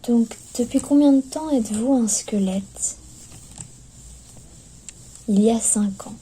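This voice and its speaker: A woman speaks softly in an ASMR roleplay as clinic staff, often slipping into a whisper, with gentle, hesitant, attentive patter. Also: on the high side, takes it slowly, breathy edge. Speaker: ASMR performer playing skeleton clinic staff. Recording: lo-fi recording with background noise.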